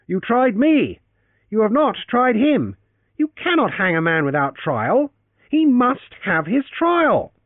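A man voicing an earnest, loyal young adventurer who speaks forcefully and with indignation. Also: high voice, animated, moderate pace, no room sound, voice pushed up. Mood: shouting. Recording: dry, tinny phone-like sound.

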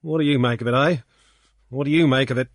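A man voicing a self-pitying drunken young gentleman who blubbers and whines in a high, loud voice. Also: steady tone, fast, no room sound, clean studio sound, close to an everyday voice. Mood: suspicious. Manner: blubbering, sobbing and whining.

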